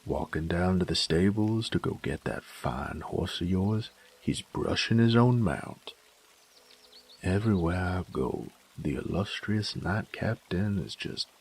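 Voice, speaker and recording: A man playing a cocky, sadistic bandit in an audio roleplay, with a gruff, teasing drawl. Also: exaggerated voice. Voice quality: gravelly, very deep drawl. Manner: drawling, teasing, folksy, crude. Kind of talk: intimate audio roleplay drama.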